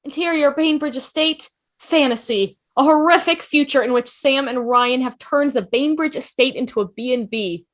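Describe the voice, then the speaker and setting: A woman's voice: sits high, moderate pace. A woman reading stage directions as scripted narration at a table read over a video call. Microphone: video call microphone.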